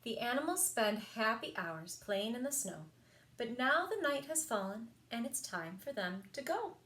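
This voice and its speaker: A woman reading picture books aloud for a children's story time, expressive and sing-song, with emphasized words. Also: on the high side, moderate pace. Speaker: library story time reader.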